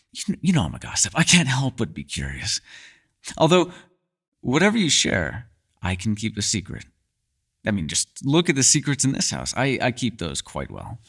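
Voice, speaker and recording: A man's voice: mid-range voice, gravelly, a bit bright, exaggerated voice. Casting: male actor for a bookish, secretive elf in fantasy ASMR roleplay; mid-range male voice, swooping intonation, playful and faintly formal. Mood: coy, curious. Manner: theatrical, playful, slightly formal phrasing. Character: mysterious male elf, erudite, teasing, secretive.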